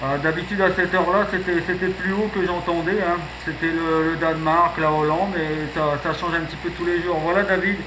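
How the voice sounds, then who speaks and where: A man with a fairly high voice talks casually in a radio contact. He rambles, tacking small filler tags onto his phrases. There is clear noise in the recording. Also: steady tone, a little fast, hardly any pauses. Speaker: amateur radio operator.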